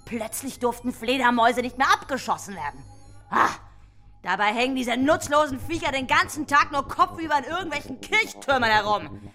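A pompous villain boss in a children's radio play. Her voice is fairly high and cutting, haughty and scolding, as befits a ruthless tycoon. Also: expressive, normal speed, bright, forceful tone, soft background music. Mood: haughty, irritated. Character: imperious, ruthless female business villain and boss. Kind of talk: German children's radio drama.

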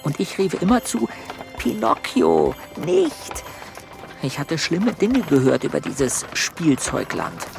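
A man playing an expressive, concerned storyteller who narrates a children's radio play. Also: a little high, takes it slowly, gravelly, music in the background, heavily acted. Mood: alarmed, wry.

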